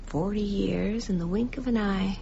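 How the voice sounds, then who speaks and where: A woman playing a gentle, nostalgic middle-aged librarian in a radio drama. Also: mid-range voice, moderate pace, creaky, natural voice. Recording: muffled, noisy radio drama recording.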